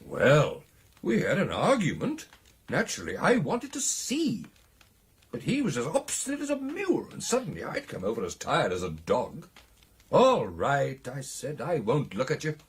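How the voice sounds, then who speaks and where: An actor playing an excitable British gentleman recounting a ghostly encounter in a radio drama, with theatrical, animated delivery. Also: medium pitch, moderate pace, husky, heavily acted. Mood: excited recounting. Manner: theatrical, animated storytelling with exclamations and hesitations.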